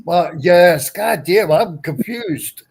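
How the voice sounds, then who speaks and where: A man with a high-pitched voice chats casually on a livestream. He rambles, repeats himself and talks bluntly, swearing as he goes. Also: lively intonation, slow, gravelly, darkish tone, slight background noise. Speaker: livestream show guest.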